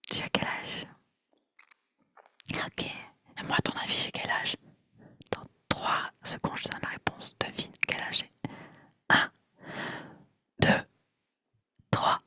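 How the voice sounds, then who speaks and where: A woman in her twenties whispering close to the mic in a chatty ASMR video, rambling and repeating trigger words softly. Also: slow, long pauses. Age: 20s. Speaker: ASMR creator.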